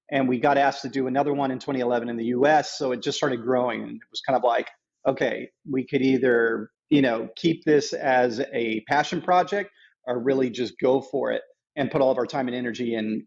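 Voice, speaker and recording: A middle-aged man with a husky, creaky voice tells his story as an interview guest. He goes haltingly, doubling back on phrases, and the recording is a little dull. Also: mid-range voice. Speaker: podcast guest, nonprofit founder.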